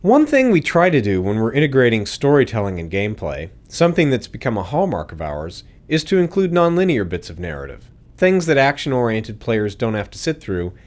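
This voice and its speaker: Lively-pitched man giving developer commentary. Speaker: game developer.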